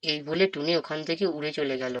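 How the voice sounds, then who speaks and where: A woman with a very low voice narrates a children's story. She reads from a script as the storyteller, linking the scenes of an animated tale. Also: quick pace, muffled recording.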